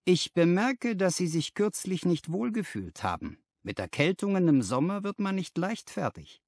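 A man acts out a keen gentleman detective from a classic storybook, his voice raised and forceful. He reasons aloud in a genteel, self-assured way with swooping emphasis. The recording is dry and close. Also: high voice, big pitch swings, normal speed, dry recording, pitched above the performer's own voice, heavily acted. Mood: analytical. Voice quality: bright, forceful. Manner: crisply analytical, genteel. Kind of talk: audiobook character reading.

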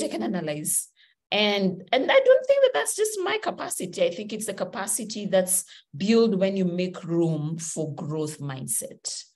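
A Kenyan woman with a slightly low voice gives a motivational talk over a video call. She speaks with animated energy, moving her hands as she explains. The sound is the plain sound of a laptop video call from a room at home. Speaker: webinar speaker.

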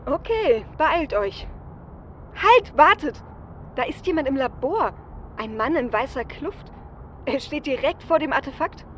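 A woman's voice: high-pitched, expressive, moderate pace. A woman playing a flippant female hacker on a heist team in an audio drama, speaking casually. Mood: urgent. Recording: dull, noisy audio.

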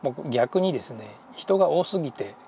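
A man's voice: on the high side, expressive, fairly quick, dark tone, a little hiss or hum. A man giving casual vlog narration as a ham radio hobbyist, often muttering hesitantly to himself. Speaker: amateur radio hobbyist and vlogger.

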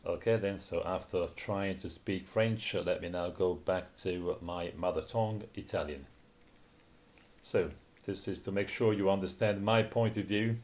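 A man's voice: deep voice, a little slow, darkish tone. A man giving a simultaneous interpretation of a conference talk, hesitant and full of fillers and restarts.

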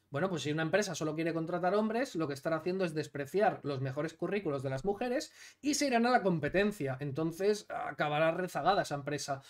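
A man in his thirties from Catalonia giving indignant, emphatic commentary on clips, seated in a small room. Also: high-pitched. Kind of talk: opinionated commentary video. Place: small room with blue LED lights, indoors.

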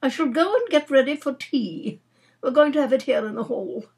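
Woman voicing an imperious country-house lady, lifted and lively. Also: sits high, slightly rough, pitched above the performer's own voice, full character voice. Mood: brisk, commanding. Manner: brisk, commanding, heavily self-satisfied.

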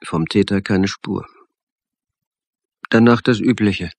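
A wry, irritable crime-drama protagonist with a low, raspy voice. His delivery is dry and sarcastic, in a close, dry radio studio sound. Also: takes it slowly, no room sound, full character voice. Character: wry, irritable male police academy narrator and suspect. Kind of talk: first-person narration and dialogue in radio crime drama.

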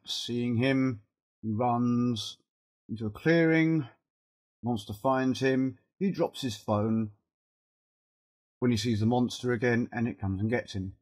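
Man, middle-aged, husky voice worn by a cold, informal tutorial presenter, halting step-by-step delivery. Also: mid-range voice. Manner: halting, thinking aloud while working. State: has a cold, losing his voice. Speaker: video editing tutorial presenter.

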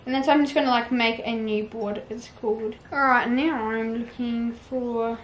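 A woman's voice: fairly high pitch, fairly even intonation, normal speed, a little hiss or hum. A young woman in her twenties chatting to camera as a fitness vlogger, casual and encouraging, with lots of fillers. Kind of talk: casual vlog chat to camera. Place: sunny outdoor patio at home.

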